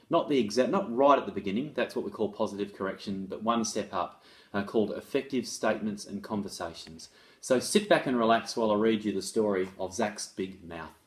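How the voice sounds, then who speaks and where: A man presenting as an education author, reading a case study aloud and explaining it in a conversational, instructive way. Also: fairly low pitch. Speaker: author and presenter on restorative practices in schools.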